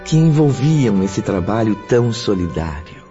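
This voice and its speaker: An actor playing a sober narrator, reading scripted radio drama narration. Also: male voice, fairly low pitch, very animated, measured pace, mellow. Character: sober male narrator of a spiritual tale. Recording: dull recording with music.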